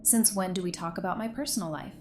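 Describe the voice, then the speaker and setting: A woman's voice: lowish voice, fast talker, darkish tone, lightly acted. An actress playing a guarded, no-nonsense woman doctor in a post-apocalyptic audio drama, speaking in a firm, dry, matter-of-fact way. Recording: faint background noise.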